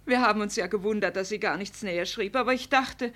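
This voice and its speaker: An actress playing an anxious, careworn poor cottager's wife in a 1950s German radio play, with a plaintive, worried manner. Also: female voice, medium pitch, quick pace, fairly bright tone, lightly acted. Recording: vintage 1950 radio recording.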